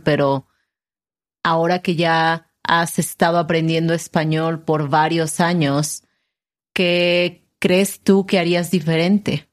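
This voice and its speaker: This is a woman with a slightly low voice. She talks slowly in a casual conversational style, as an interviewer does. She explains things and often checks in with short tag questions.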